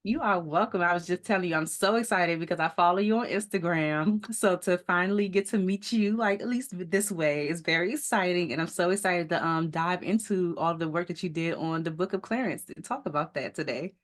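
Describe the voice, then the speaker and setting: A woman's voice: lowish voice, brisk, hardly any pauses. A woman hosting a beauty podcast, upbeat and enthusiastic, chatting casually with her guest and laughing often. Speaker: podcast host. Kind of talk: casual interview chat.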